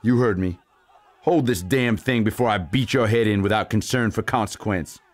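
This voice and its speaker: A tough, feared frontier sheriff, acted by a man in a Western audio play. He has a low voice and a folksy drawl that carries menace. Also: moderate pace, lightly acted. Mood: menacing.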